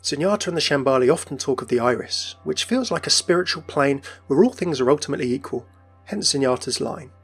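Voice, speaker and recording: A man's voice: medium pitch, lively intonation, fairly quick, a bit bright, slightly rough. A man presenting scripted narration as a video host, in an explanatory style. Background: background music.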